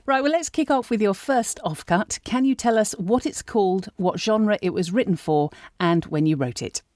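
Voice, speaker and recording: A woman's voice: medium pitch, lively intonation, moderate pace, darkish tone, no echo, clean studio sound. A woman hosting a podcast, moving between scripted introductions and conversational interview questions.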